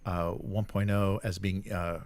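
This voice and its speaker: A man co-hosting a gaming news show, casually running through items in a halting, hesitant way. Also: deep voice, steady tone, creaky, darkish tone, dry recording. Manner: halting, hesitant delivery while presenting. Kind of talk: casual news rundown and chat.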